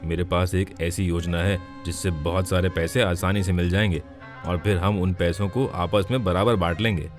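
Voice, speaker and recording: A man's voice: low-pitched, steady tone, normal speed, faint music behind, lightly acted. A man playing a scheming gambler and bandit villain in a moral story. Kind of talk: dramatised Hindi moral story.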